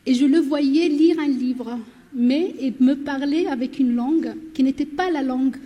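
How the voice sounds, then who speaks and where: A woman's voice: high-pitched, measured pace, warm tone, muffled recording. A woman writer from southern Morocco, a native Berber speaker, answering a panel question in a reflective, personal way. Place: lecture hall. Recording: reverberant lecture hall.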